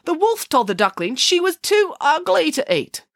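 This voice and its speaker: A woman's voice: high voice, very animated, normal speed, no room sound, studio quality, voice pushed up. A woman voicing a firm, theatrical nanny telling the children a fairy tale. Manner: firm, matter-of-fact storyteller. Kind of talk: audiobook character voice.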